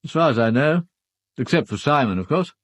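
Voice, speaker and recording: Reserved, matter-of-fact British gentleman. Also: medium pitch, animated, dry recording. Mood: reserved, firm. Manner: measured, matter-of-fact, firm. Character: reserved upper-class husband, a titled gentleman. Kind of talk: full-cast radio drama acting.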